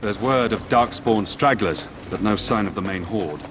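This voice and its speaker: An actor playing a resolute village protector in a fantasy video game, solemn and firm. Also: male voice, fairly low pitch, moderate pace, fairly bright tone, natural voice. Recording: clear background ambience noise.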